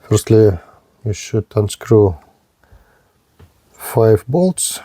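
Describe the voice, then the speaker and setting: A man giving an unscripted hands-on product demonstration as a reviewer, speaking haltingly in short, broken-up phrases. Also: lowish voice, expressive, mellow. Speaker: gadget reviewer presenting a radio.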